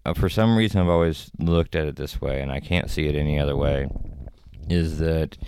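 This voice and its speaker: A man's voice: extremely low pitch, brisk, a little mellow, a little hiss or hum. A man who acts and makes films, talking casually as a podcast guest in a laid-back, rambling way and laughing often. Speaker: actor and filmmaker guest.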